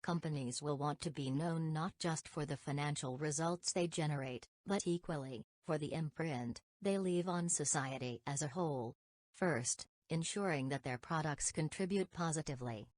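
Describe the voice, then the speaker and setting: Deep-voiced woman reading aloud, dry sound. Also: moderate pace, darkish tone, dry recording. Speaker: narrator reading exam practice passages. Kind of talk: scripted read-aloud.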